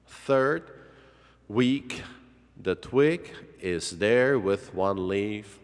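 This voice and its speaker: A man's voice: lowish voice, lively intonation. A man narrating a children's read-along story in a dramatic way, drawing out words for effect. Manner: dramatic, with drawn-out words and exclamations. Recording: long hall-like reverb.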